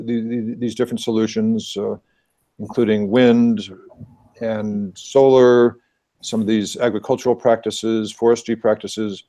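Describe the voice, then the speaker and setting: A man's voice: medium pitch, mellow. A man giving an earnest, explanatory presentation at an online meeting, thinking aloud as he goes, heard through a video call.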